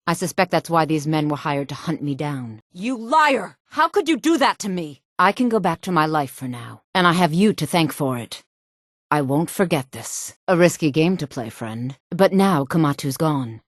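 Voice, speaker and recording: Exiled noblewoman, sultry, low-pitched, imperious and secretive, formal dramatic phrasing, fantasy game dialogue, dry studio sound. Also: no room sound. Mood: relieved, grateful, then betrayed, angry, then composed, grateful. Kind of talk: fantasy video game voice acting.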